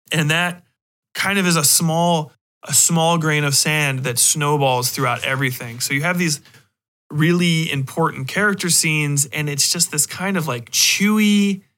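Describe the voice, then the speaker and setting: Bright, higher-pitched man ranting casually. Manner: profane, emphatic, rambling. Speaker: podcast host. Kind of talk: casual opinionated discussion.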